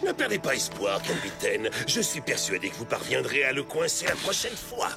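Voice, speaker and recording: A haughty military villain from a cartoon, scheming and sarcastic. His voice is on the high side and full of creak, with wide pompous swings in pitch over loud music. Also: wildly swooping pitch, normal speed. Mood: smug, sly. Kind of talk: dubbed cartoon villain acting.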